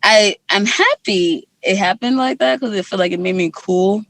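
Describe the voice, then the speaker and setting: A young woman chatting candidly as a guest, her speech loose and full of little fillers. She sits close to the mic in a dry, dead-sounding space, her pitch sitting mid-range. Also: moderate pace, a bit bright, no room sound. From Guyana. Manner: candid, laid-back, lots of fillers. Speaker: guest musician. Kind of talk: casual interview chat.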